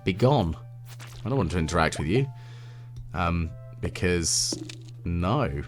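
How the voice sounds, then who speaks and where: A British man with a deep voice giving relaxed, meandering gameplay commentary with gentle, wry asides. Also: slow pace, faint music behind. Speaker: let's play video host.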